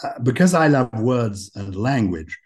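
An older British man hosting an interview, erudite and fond of wordplay, speaking in a measured way. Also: mid-range voice, animated, a little mellow. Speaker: podcast host. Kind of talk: conversational interview.

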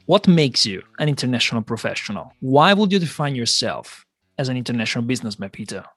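Man, podcast host, lively pitch, faint guitar music. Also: fast talker, breathy edge, no room sound, studio quality. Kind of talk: scripted intro and interview questions.

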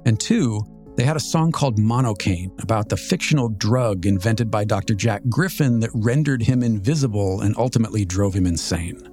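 A man's voice: lowish voice, moderate pace, darkish tone. American man in his fifties over music. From Southern California, United States. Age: 50s. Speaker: podcast host. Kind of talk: scripted personal storytelling narration.

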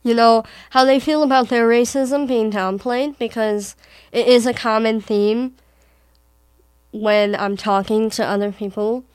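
A teenage girl from Wisconsin with a Wisconsin accent, talking with her mother in a thoughtful, halting way with frequent restarts. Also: on the high side, fairly even intonation, clear, resonant tone, dry recording. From Wisconsin, USA. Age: teens. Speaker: daughter in conversation with her mother. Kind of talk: casual conversation.

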